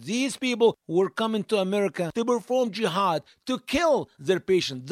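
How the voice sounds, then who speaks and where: A man's voice: high voice, dry recording, clean studio sound. A man from Egypt, giving an emphatic monologue, posing rhetorical questions and answering them himself. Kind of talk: monologue commentary.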